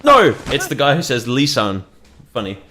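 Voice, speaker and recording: Brief: male gaming streamer, profane rambling commentary, mid pitch. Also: big pitch swings, moderate pace, sharp tone, a little hiss or hum. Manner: ranting, swearing, laughs often. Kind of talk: gameplay commentary.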